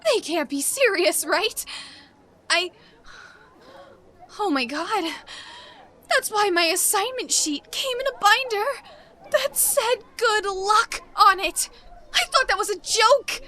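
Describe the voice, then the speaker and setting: A woman playing a panicky, overwhelmed teenage park employee in a comedy audio drama, stammering and halting. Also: very high-pitched, animated, sharp tone, full character voice. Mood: stunned disbelief.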